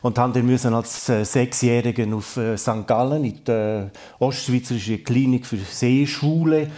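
A man's voice: medium pitch, moderate pace, mellow. A man in his sixties from Graubünden, a native Romansh speaker who talks Swiss German with a Romansh tinge, speaking as a guest in a thoughtful, hesitant way. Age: 60s.

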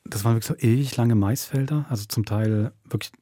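A man's voice: mid-range voice, fast talker, warm tone, slight background noise. A man hosting a podcast in casual, chatty conversation. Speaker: podcast host and producer. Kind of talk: casual chat.

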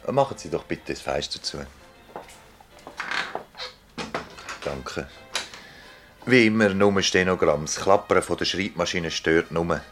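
An actor playing an authoritative public prosecutor with a Swiss German accent, brisk and formal, in a radio drama. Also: male voice, sits low, a bit bright, soft background music. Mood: businesslike. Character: authoritative male public prosecutor questioning village witnesses. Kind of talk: radio drama acting in dialect.

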